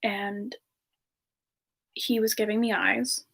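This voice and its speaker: A woman playing a bashful, soft-spoken siren ice spirit, telling her story slowly and hesitantly in a semi-ASMR roleplay. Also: mid-range voice, level tone, full character voice. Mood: fond, reminiscing. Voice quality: soft, breathy, slightly creaky. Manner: slow, hesitant, reminiscing with pauses.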